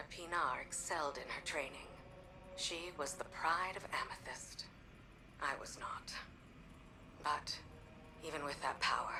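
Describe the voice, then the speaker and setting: Low-voiced, stoic soldier. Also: female voice, recorded from a distance, low-quality recording. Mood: regretful, quiet. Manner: restrained, earnest. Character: stoic woman soldier, loyal, earnest, self-doubting. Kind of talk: video game voice acting. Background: music.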